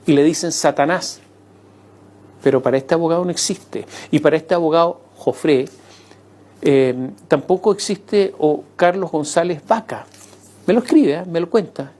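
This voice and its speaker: A man speaking in an interview clip, relaxed and conversational. He retells events with animation and briefly acts out the voices of the people he quotes. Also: fairly high pitch, moderate pace. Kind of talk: spoken interview answer.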